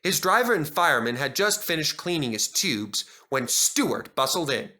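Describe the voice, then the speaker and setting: A man reading a children's story aloud in dramatic, expressive scripted narration. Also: fairly high pitch, normal speed. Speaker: narrator and reader.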